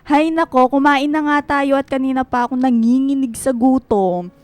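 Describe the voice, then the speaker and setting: An actress playing a bubbly, teasing young aunt in a radio drama, playfully mixing in English. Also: female voice, high-pitched, fairly even intonation, normal speed, no echo, natural voice.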